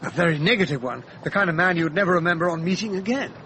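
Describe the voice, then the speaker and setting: An actor playing an earnest English gentleman sidekick, well-spoken and descriptive, narrating and acting in a radio drama. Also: sits high, expressive, fast, a little hiss or hum.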